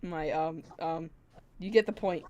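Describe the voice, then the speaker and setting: A woman's voice: low-pitched, measured pace, a little mellow, a little hiss or hum. A woman livestreaming a game with a friend, chatting casually in a pleading, mock-exasperated, giggly way. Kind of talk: casual chat while gaming.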